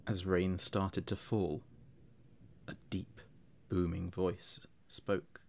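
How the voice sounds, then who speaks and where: A man narrating a scripted horror story in a measured, dramatic and ominous manner. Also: sits low, flat delivery, gravelly, a little mellow. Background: music.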